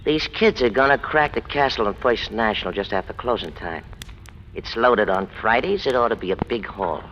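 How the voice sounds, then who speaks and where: A man's voice: on the low side, normal speed, a bit bright. A man playing a mean, greedy crime boss, needling and bossy, in 1940s radio gangster style. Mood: menacing, bossy. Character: mean, greedy small-town crime boss, taunting and domineering. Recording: dull, faintly noisy old radio recording.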